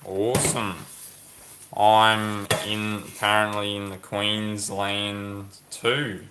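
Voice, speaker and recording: Man, low voice, slow drawl, musing livestream chat, clattering dishes behind. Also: level tone, background noise. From Australia. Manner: slow, musing, reads comments aloud and ponders. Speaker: livestream host reading chat.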